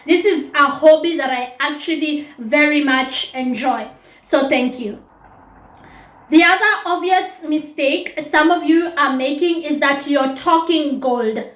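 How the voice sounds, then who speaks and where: A woman's voice: sits high, unhurried, fairly bright tone. A woman from Kenya, giving chatty, teasing dating advice straight to her viewers. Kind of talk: casual advice monologue to camera. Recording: echoey room.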